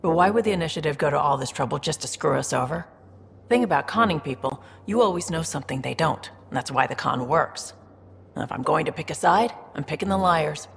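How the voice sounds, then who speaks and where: Very low-voiced streetwise space smuggler. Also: female voice, animated, fairly quick. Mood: frank, shrewd. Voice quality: gravelly, low. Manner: wry, sly, self-assured. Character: shrewd, wry, streetwise female smuggler in space. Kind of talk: video game dialogue. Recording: reverberant room with faint background noise.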